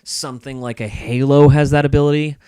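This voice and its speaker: A man's voice: mid-range voice, flat delivery, fairly quick, warm tone, smooth, dry recording, studio quality. A man speaking as a podcast guest in casual, opinionated discussion, emphatic and repeating points for stress.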